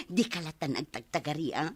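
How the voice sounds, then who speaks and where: A woman playing a mischievous, loud, drunk village wife in an Ilocano radio comedy drama, wailing and cackling theatrically with an Ilocano accent. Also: sits low, huge pitch swings, normal speed, breathy edge, dry recording, heavily acted. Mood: amused, scheming.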